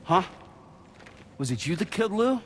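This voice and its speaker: An actor playing a gruff, bitter, grieving father and hero in a video game, with a gravelly voice. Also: sits high, sing-song, moderate pace, slight background noise. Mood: angry, accusing. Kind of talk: video game cutscene acting.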